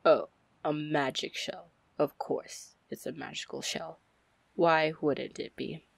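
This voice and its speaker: Woman voicing a female pirate captain, flirty, confident, low-pitched, close and dry, fantasy ASMR roleplay. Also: steady tone, dry recording, heavily acted. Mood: wry, probing. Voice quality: breathy, low, husky. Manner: slow, deliberate, smooth-talking. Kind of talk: ASMR roleplay acting, fantasy audio drama.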